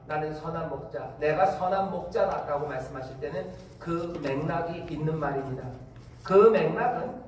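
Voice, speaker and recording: A man with a Korean Incheon accent preaches in a church, talking to his congregation in a casual, chatty way. His voice sits a bit high. It comes from a distance in a reverberant sanctuary, with faint background noise. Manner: conversational preaching with fillers and asides. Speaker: pastor. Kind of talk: sermon. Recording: echoey hall, distant mic, faint noise.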